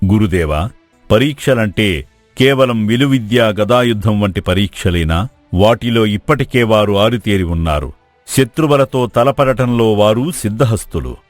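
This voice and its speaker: Troubled humble king, played by a man, low pitch, formal deliberate delivery, storybook drama, music behind. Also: animated, dry recording, close to an everyday voice. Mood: troubled, earnest.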